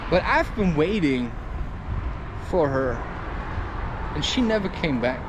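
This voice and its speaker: A man's voice: fairly high pitch, very animated, takes it slowly, background noise. A man giving a candid, rambling personal monologue for a vlog, musing and often checking himself with tag questions. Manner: musing, repeatedly seeking agreement with tag questions. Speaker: vlogger and podcaster.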